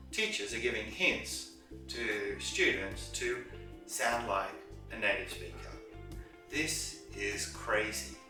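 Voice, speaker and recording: Man, English lesson, emphatic, repeats for stress, far from mic, faint music. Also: mid-range voice. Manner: emphatic, repeats words for stress, slows down on key phrases. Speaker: English teacher. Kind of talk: lesson to camera. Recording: very echoey room, distant mic, with music.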